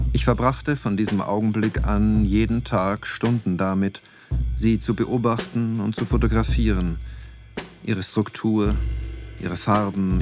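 An actor playing a reflective photographer in a radio play, narrating in a measured, contemplative monologue. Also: male voice, lowish voice, natural voice. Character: reflective male photographer narrating his own searching wanderings.

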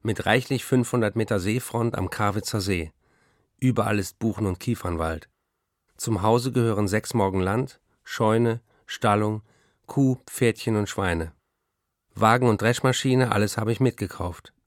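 An actor playing a hard-drinking, excitable male novelist with a gravelly, husky voice, reading letters and memories in a radio play, dryly and wryly. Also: fairly low pitch, measured pace, no echo, natural voice. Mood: proud, contented. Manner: dry, wry first-person storytelling and letter reading.

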